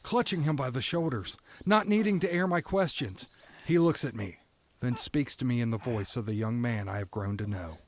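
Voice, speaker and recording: A man playing a stoic, resigned prisoner who narrates an audio drama in a somber, deliberate, poetic storytelling manner. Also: mid-range voice, lightly acted. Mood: grave, urgent. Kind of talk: scripted first-person dramatic narration.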